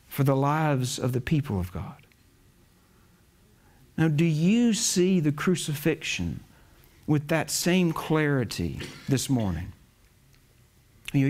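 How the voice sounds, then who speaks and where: A man's voice: fairly high pitch, darkish tone. A young man preaching a chapel sermon from a pulpit, earnest and emphatic, leaning on key words.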